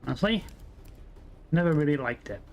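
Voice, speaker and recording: Gravelly man swearing through a game. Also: on the high side, expressive, normal speed. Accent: English. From Wales. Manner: swears freely, self-deprecating, muttering to himself. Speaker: game streamer. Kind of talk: casual gameplay commentary.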